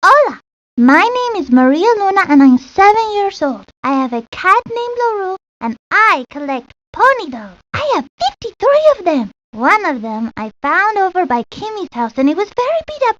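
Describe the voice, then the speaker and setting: A woman's voice: very high-pitched, very animated, normal speed, fairly bright tone, dry recording, exaggerated voice. A woman voicing a girly, chatty seven-year-old girl in a children's cartoon game. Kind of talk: cartoon game character lines. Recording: dull lo-fi old game audio.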